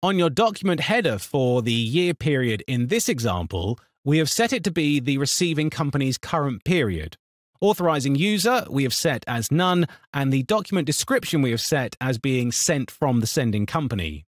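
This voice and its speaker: A man narrating a scripted software tutorial in an instructional, step-by-step manner. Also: medium pitch, sing-song, normal speed, dry recording, clean studio sound.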